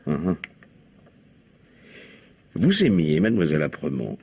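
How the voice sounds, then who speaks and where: An actor playing a sly, insistent police commissioner in a French radio mystery, probing with irony and pointedly repeating answers. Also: male voice, rumbling low, wildly swooping pitch, measured pace, a little mellow, slightly rough, heavily acted. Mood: probing, polite. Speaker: detective.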